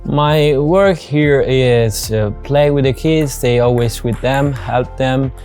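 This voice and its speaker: A man's voice: medium pitch, slow pace, darkish tone, soft background music. A man in his twenties from Italy, speaking with an Italian accent, earnestly describing his volunteer work in simple, non-native English. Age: 20s. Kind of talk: interview testimonial.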